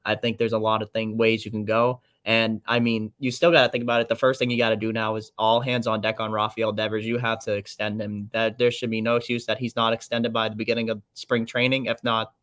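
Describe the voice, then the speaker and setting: A man co-hosting a casual sports podcast, talking in a rambling, monotone way. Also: lowish voice, brisk, hardly any pauses, no echo. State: slightly raspy throat. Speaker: podcast co-host.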